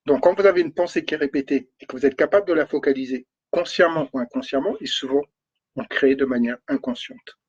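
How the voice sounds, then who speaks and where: A man's voice: a little high, expressive, quick pace, slightly rough. A man coaching on energy and spirituality, thinking aloud with lots of repetition and checking "okay?", talking with a runny nose. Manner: repeats words, thinks aloud, stresses points with "d'accord?". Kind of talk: spoken talk on spirituality. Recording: dull lo-fi recording.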